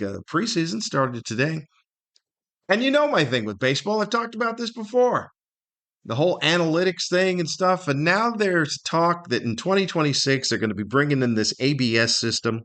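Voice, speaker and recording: Older man, American accent, mid-pitched voice, casual podcast host, conversational, rambling, self-deprecating. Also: a bit bright. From Southern California, United States. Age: older, 50s or above. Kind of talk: casual solo podcast chat.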